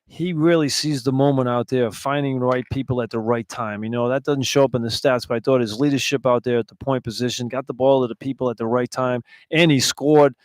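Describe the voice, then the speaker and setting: An older male radio analyst with an average-pitched voice talks through sports in a conversational way. He is on a broadcast headset mic and moves easily between analysis and banter. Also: fairly even intonation, brisk, few pauses, darkish tone, dry recording. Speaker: radio color commentator.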